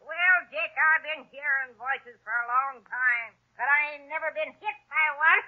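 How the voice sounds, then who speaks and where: Crazed, shrill old woman played by an actress. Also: moderate pace, natural voice. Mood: cackling, crazed. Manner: cackling, folksy backwoods speech. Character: crazed old woman kidnapper, cackling, possessive mother. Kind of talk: 1940s radio acting. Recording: tinny old-time radio recording.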